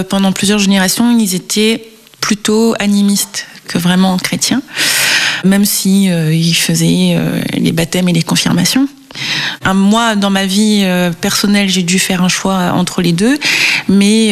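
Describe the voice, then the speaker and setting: A woman in her thirties, a guest on an interview program. She tells her personal story slowly and thoughtfully. Her speech is full of hesitations and soft pauses. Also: mid-range voice. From France (Marseille, Réunion). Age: 30s. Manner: hesitant, reflective, many fillers.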